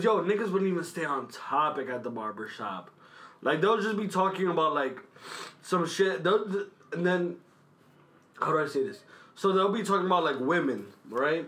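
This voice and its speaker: Rambling young man, slightly high voice. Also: bright, forceful tone. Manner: rambling, slangy, meandering. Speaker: podcast co-host. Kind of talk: casual storytelling.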